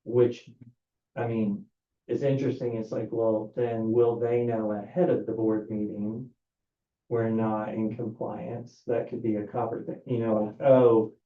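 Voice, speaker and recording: A man's voice: fairly low pitch, flat delivery, unhurried. A man at a board meeting, thinking aloud casually with many fillers. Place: meeting room. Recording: roomy, dull lo-fi meeting recording.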